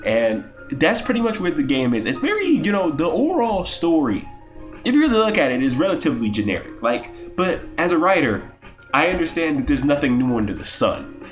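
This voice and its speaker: Brief: young male gaming vlogger, sick and sniffly, cursing and rambling, mid-range voice, faint music behind. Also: mid-range voice. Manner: rambling, profane, stammering restarts. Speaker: gaming YouTuber. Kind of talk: casual game review.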